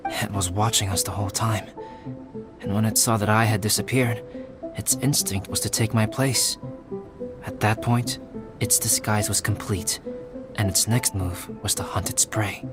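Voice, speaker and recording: An actor playing a calm, cerebral young alchemist in a fantasy video game, explaining things in a measured, formal, scholarly way. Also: male voice, fairly low pitch, close to an everyday voice. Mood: calm, analytical. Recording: loud background music under the voice.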